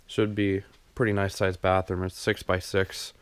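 A man's voice: low voice, flat delivery, unhurried, smooth. A young man casually narrating a walkthrough of his unfinished basement renovation, rambling with many ums and restarts, recorded on a handheld camera. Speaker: DIY home renovation vlogger. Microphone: handheld camera mic, filming as he walks.

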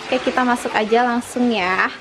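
Young female vlogger, higher-pitched voice, informal home-tour chat with music underneath. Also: normal speed, poor audio. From Indonesia. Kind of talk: casual chat to viewers. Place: her own small house, front yard then living room. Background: background music.

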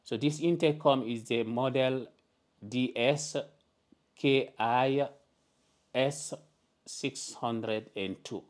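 A man hosting a step-by-step tech tutorial, talking through each setting in plain, deliberate instructions at a desk indoors. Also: mid-range voice. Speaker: tutorial host.

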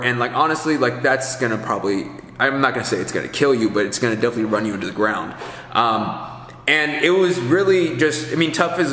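A man's voice: mid-range voice, fairly bright tone. A man in his thirties giving casual, unscripted coaching advice in a rambling, conversational way, thinking aloud as he goes. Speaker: business coach for barbers. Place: large indoor kitchen area with hard surfaces. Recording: echoey, reverberant hard-walled room.

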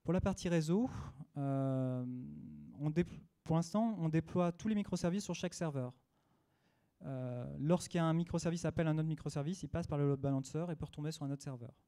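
A man with a French accent speaks at a small meetup, his voice mellow and slightly higher than most men. He talks in a halting way, often pausing on fillers and restarting his words. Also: smooth. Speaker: conference speaker. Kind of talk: technical meetup talk. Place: small dim meetup room.

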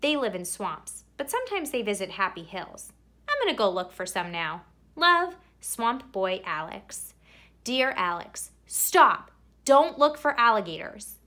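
A woman reads a children's story aloud in a highly animated voice of middling pitch. She leans on key words and stretches them out for theatrical effect, as a storyteller does for young listeners. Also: a little slow. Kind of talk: expressive children's picture book read-aloud.

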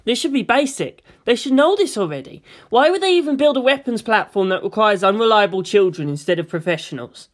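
A woman's voice: on the high side, animated, fairly quick, few pauses, pitched above the performer's own voice. Woman playing an agitated, protesting teenage boy. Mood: wounded disbelief. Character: distressed, argumentative, suspicious teenage boy, reluctant pilot. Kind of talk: dramatic audiobook character reading.

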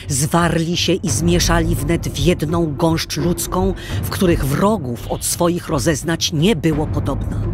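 A female narrator tells an old tale in a solemn, dramatic way. She takes her time, and music with drums plays clearly behind her. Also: sits low.

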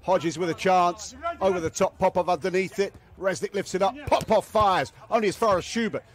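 Higher-pitched male sports commentator. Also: brisk. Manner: clipped phrases, swelling to shouts on big points. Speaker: beach volleyball commentator. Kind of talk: live sports play-by-play commentary.

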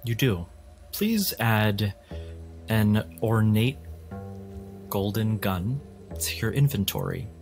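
A man narrating a tabletop role-playing game as game master, setting scenes in a deliberate, descriptive way. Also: on the low side, huge pitch swings, vocal fry, a little mellow, faint music behind.

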